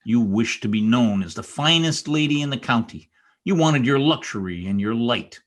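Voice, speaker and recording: An actor playing a brooding, bitter, vengeful spurned lover in an old-time radio drama, speaking formally and intensely. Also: male voice, medium pitch, lively intonation, normal speed, natural voice. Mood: bitter, accusing. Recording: remote video-call recording.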